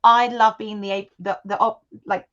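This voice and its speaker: A woman's voice: medium pitch, steady tone, unhurried, fairly bright tone. Chatty British woman on a dull remote call. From United Kingdom. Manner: enthusiastic, chatty, with many fillers. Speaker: studio co-founder guest. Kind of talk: casual interview chat.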